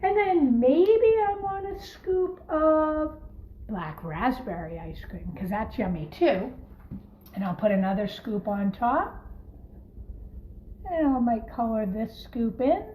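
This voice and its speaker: A woman teacher gently and encouragingly talking young children through a craft in simple words as she demonstrates it. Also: on the high side, expressive, slow. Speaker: primary school teacher leading a craft. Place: home kitchen, by the refrigerator. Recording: echoey room with faint background noise.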